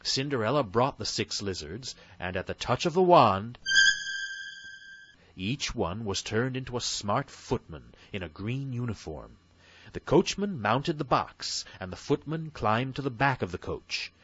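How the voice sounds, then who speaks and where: Male bedtime-story narrator, very lively intonation, rough-edged tone, dull lo-fi recording. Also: mid-range voice, measured pace. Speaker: audiobook narrator. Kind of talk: scripted bedtime fairy tale narration.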